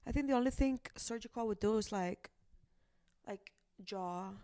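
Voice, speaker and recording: A woman in a casual podcast chat, playful and quick with dramatic gasps and quips. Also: a little high, mellow, no room sound, studio quality.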